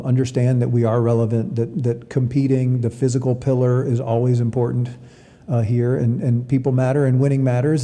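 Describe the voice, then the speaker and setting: Really mellow guy answering interview questions, stumbles and repeats words, echoey reverberant sound. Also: mid-range voice. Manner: hesitant, repeats words while explaining. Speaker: college athletic director, interview guest. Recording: long reverberant room sound.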